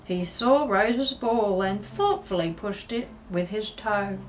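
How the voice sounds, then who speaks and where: A woman's voice: mid-range voice, slow pace, a little hiss or hum, rough recording. A woman reading a picture book aloud to young children, adding chatty asides and questions to her listeners. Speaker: children's storyteller.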